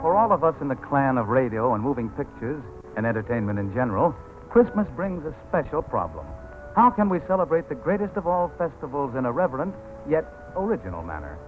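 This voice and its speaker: 1940s radio host, tinny broadcast. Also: male voice, mid-range voice, sing-song, a little fast, soft background music. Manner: smooth formal announcer delivery. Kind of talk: scripted radio introduction.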